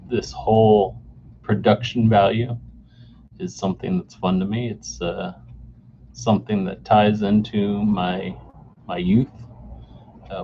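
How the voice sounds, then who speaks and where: A man in his forties hosting a solo podcast off the cuff, halting with many fillers and restarts, recorded on a camera mic indoors. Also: fairly low pitch. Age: 40s. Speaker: podcast host. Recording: dull lo-fi camera mic sound with faint noise.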